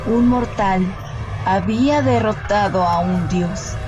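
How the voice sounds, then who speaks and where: A woman acts as a solemn storyteller of an epic video game myth. She has a medium-pitched voice and a Latin American accent. Music runs clearly behind the scripted narration. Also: slow, highs cut off, close to an everyday voice.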